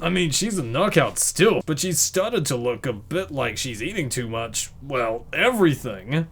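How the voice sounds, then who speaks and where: A man's voice: medium pitch, very animated, a little slow, fairly bright tone, husky, heavily acted. A man voicing a disapproving, incredulous young male coworker in an amateur comic dub.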